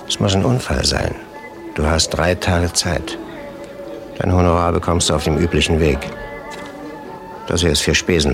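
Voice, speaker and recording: An actor playing a wary gangster who arranges killings, laconic and matter-of-fact, in a 1960s German radio play. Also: male voice, sits low.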